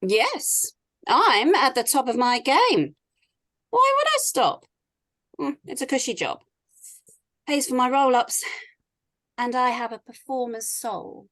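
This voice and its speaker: A woman's voice: sits high, very animated, slow pace, pauses often, a bit bright, exaggerated voice. A woman acting a brash, flippant middle-aged party performer in a theatrical, defiant way for a script read-through. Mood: defiant, boastful.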